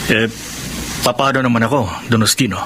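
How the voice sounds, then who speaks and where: An anxious, fawning underling in a radio drama, played by a man. His mid-range male voice is hesitant and deferential, with clear noise in the background. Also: mid-range voice, steady tone, fairly quick, lightly acted. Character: deferential, anxious male subordinate hoping for inheritance. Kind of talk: Filipino radio drama acting.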